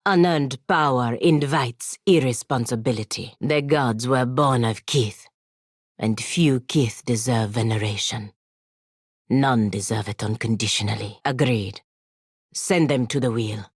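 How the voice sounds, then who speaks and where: A woman voicing a stern, proud female warrior in a video game, speaking formally and bluntly with measured disdain. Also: breathy edge, dry recording, clean studio sound, heavily acted. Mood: stern, disdainful. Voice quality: very low-pitched voice. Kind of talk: video game voice acting, formal scripted dialogue.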